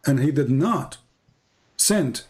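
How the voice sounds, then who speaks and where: A man's voice: medium pitch, animated, slow, darkish tone. A man who is a non-native English speaker hosts an argumentative religious debate, quoting scripture, interrupting and pressing his opponent with pointed questions. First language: not English. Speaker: debate host challenging a caller on religion.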